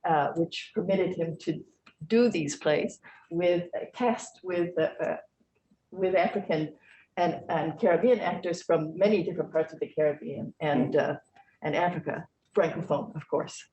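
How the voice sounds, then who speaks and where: Woman academic moderator, lowish voice, explanatory and thoughtful, roomy echo. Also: a little slow, dark tone. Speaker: professor and moderator. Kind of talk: panel conversation.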